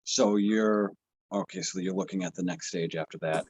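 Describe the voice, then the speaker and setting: A man in his forties from the United States, a patient panelist on a video call, who talks in a casual, halting, encouraging way. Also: fairly low pitch, lively intonation, creaky, a little mellow, breathy edge, no room sound. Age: 40s.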